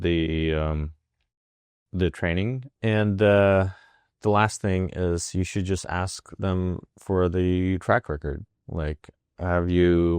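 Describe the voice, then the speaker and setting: A man in his forties with a very low voice. He speaks as an interview guest, with halting phrasing, fillers and restarts. He is recorded dry and close, with no room sound. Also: clear, resonant tone, dry recording. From Russia. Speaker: wound care physician.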